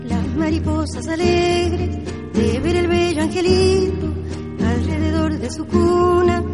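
A woman's voice: very high voice, slow. A woman performing as a solemn folk singer, singing mournful verse. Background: loud music.

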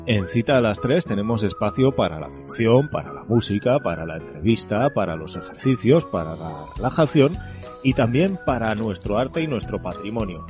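A Spanish man introduces a radio programme from a script. His voice is fairly low, and music plays clearly behind him. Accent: Spanish from Spain. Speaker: radio show announcer.

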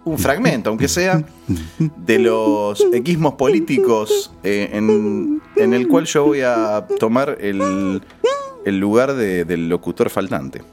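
A man's voice: lowish voice, very animated, slow pace, darkish tone, soft background music. A man with an Argentine accent hosting in casual studio chat. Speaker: radio show host.

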